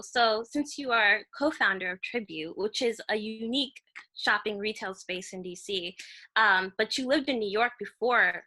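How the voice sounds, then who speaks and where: A woman's voice: medium pitch, sharp tone, rough recording. A woman hosting a discussion, putting questions to guests in an easygoing way. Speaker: host. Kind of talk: interview questions.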